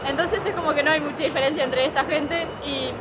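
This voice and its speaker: A woman's voice: high voice, steady tone, fast. An eighteen-year-old woman from Montevideo with a Uruguayan accent, a coffee vendor talking in a casual, slightly hesitant way. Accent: Uruguayan Spanish. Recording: loud crowd and traffic noise.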